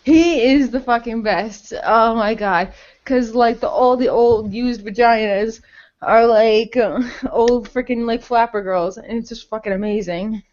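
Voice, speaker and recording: A woman's voice: on the high side, a little slow, a little mellow, highs cut off. A young adult woman chatting casually on a podcast, gushing enthusiastically with lots of slang and swearing. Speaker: podcast co-host.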